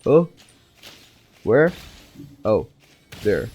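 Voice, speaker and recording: A man's voice: fairly low pitch, big pitch swings, drawn-out words, darkish tone, husky. A man giving casual gaming commentary, talking to himself in questions and half-finished thoughts. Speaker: gameplay streamer. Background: game music.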